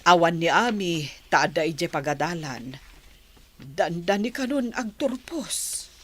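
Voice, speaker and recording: A woman's voice: fairly low pitch, lively intonation, slow pace, a bit bright, exaggerated voice. A woman playing a worried, apologetic mother who pleads humbly and hesitantly for her child in a radio drama. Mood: relieved, gentle. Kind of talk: Filipino radio drama acting.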